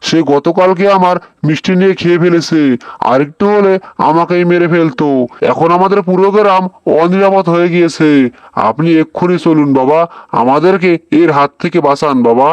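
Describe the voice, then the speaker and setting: A man voicing an excitable, pleading village husband in a cartoon. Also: fairly high pitch, brisk, clean tone, dry recording, lo-fi sound, lightly acted. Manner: panicky, exclaiming, pleading. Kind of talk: Bengali cartoon voice acting.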